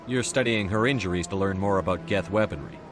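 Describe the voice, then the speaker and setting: An actor playing a resolute military commander, the hero of a video game, direct and firm. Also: male voice, low-pitched, fast, close to an everyday voice. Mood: calm, inquiring. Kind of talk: video game character acting. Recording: background music under the dialogue.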